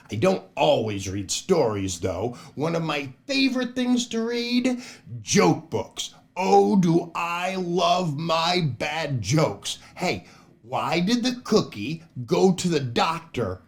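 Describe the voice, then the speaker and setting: A man's voice: a little high, big pitch swings, slow pace. An older man, a children's entertainer hosting a storytime show in a playful, exaggerated manner. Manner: playful, exaggerated, often chuckling at own jokes. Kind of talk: animated children's show hosting and read-aloud.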